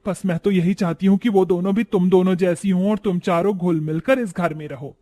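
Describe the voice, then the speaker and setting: Guy doing a high voiced older village mother-in-law, plaintive n exasperated, scolding, cartoon family story. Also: steady tone, a little fast, mellow, close to an everyday voice. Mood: worried.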